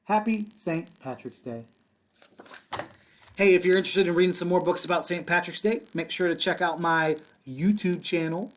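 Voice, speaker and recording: A man's voice: high voice, normal speed, fairly bright tone. A man reading a children's picture book aloud like a kindergarten teacher, with casual asides and encouraging, simple, sing-song delivery for young children.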